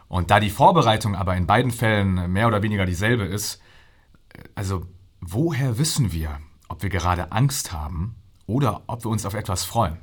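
Visionary scientist, earnest, low husky voice, deliberate, emphatic pauses, audio drama interview. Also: male voice, a bit bright, exaggerated voice. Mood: explanatory, composed. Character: earnest male visionary scientist explaining his research.

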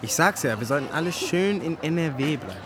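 A man's voice: a little high, expressive, a little fast, a crowd in the background, lightly acted. An actor playing an easygoing, loyal teenage boy who talks in a casual, wry, joking way in a naturalistic radio drama. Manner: casual, wry, youth slang.

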